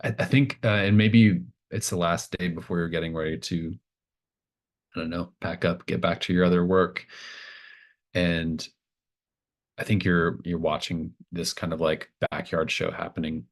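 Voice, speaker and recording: A man with a low voice runs a tabletop roleplaying game. He narrates casually and off the cuff, halting as he thinks aloud, and encourages his players. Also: fairly even intonation. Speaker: game master.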